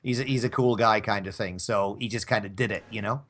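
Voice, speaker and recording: A man's voice: fairly low pitch, steady tone, fairly quick, fairly bright tone. A man co-hosting a casual talk show, bantering about films in a rambling, wry, conversational way. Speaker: co-host of an audio drama talk show.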